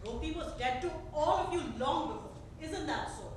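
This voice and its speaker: A woman in a staged reading, playing an unwell, grieving middle-aged mother who is quietly defiant and bitter. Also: high voice, expressive, normal speed. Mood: wounded, bitter. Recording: echoey theater from far off, faint noise.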